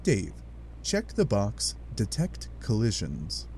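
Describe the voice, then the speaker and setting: A man with a fairly low voice narrates a comic adventure in an animated, sardonic way. His pitch swings widely between dry statements and dramatic asides. A light but steady noise sits behind him. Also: takes it slowly. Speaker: narrator of a fan dub read-along.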